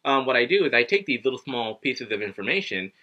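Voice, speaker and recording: A man in his thirties teaching English to camera at home, animated and acting out little scenes as he explains. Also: medium pitch, fast. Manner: animated, acts out students and himself, gestures a lot. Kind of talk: casual video lesson to camera. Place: living room at home, indoors. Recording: dull lo-fi webcam audio.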